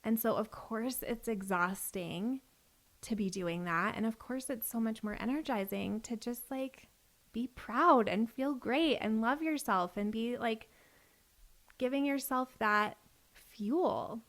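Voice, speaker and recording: A woman's voice: on the high side, slow pace, a bit bright. A woman in her thirties hosting a podcast, talking casually with a guest and using lots of "like" fillers. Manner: chatty, frequent "like" fillers. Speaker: podcast host and business coach. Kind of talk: casual conversation.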